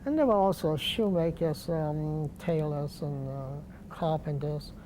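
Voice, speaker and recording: An older man from Poland, speaking slowly and deliberately with pauses in an oral history interview. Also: fairly high pitch, dark tone, a little hiss or hum, low-quality recording. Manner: slow, deliberate, halting with pauses.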